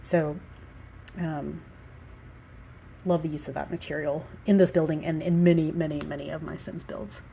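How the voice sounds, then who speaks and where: A woman's voice: sits low, fairly even intonation, normal speed, gravelly, pauses often, warm tone, slight background noise, poor audio. A woman in her thirties from the American South, congested with allergies and a little out of breath, rambling casually with many fillers while she talks through a building design. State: slightly out of breath. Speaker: Sims builder and architect.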